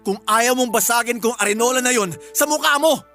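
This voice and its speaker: A woman's voice: a little high, brisk, a bit bright. A woman with a Filipino accent playing an angry, suspicious girlfriend in a radio drama, sarcastic and confrontational. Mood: angry, accusing. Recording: music under the voice. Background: background music.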